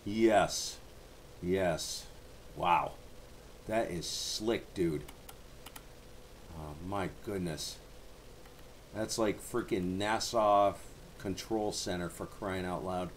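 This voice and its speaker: A man's voice: deep voice, extremely slow, long pauses, slight background noise. A man chatting casually over ham radio as an operator and streamer, in a laid-back, slangy way.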